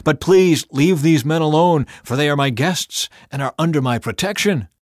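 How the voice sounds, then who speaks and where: A man's voice: on the high side, normal speed, a little mellow, no room sound, studio quality, pitched above the performer's own voice, close to an everyday voice. A man voicing Lot, a desperate man begging in a dramatized scripture reading, in a frantic, raised voice.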